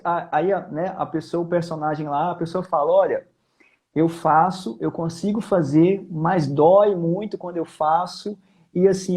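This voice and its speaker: Male Brazilian vocal teacher, high-pitched voice, informal, emphatic, conversational technical explanations. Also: a little mellow. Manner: informal, emphatic, repeats key points. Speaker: singing teacher, guest.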